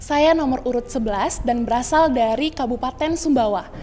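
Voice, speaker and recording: A woman's voice: high-pitched, moderate pace, warm tone, long reverb tail, slight background noise. A young woman, a student, giving a polite formal self-introduction as a pageant finalist. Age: young adult.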